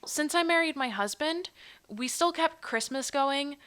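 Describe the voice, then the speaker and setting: A woman's voice: high-pitched, lively intonation, unhurried, a bit bright. A woman from Pennsylvania with an American accent, presenting and telling personal stories as a guest. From Pennsylvania, United States.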